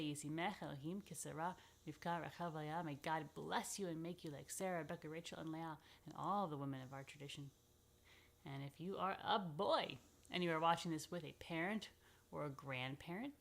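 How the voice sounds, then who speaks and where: A woman's voice: sits low, vocal fry. A woman rabbi hosting a children's story time, speaking informally and playfully straight to the children in a chatty way.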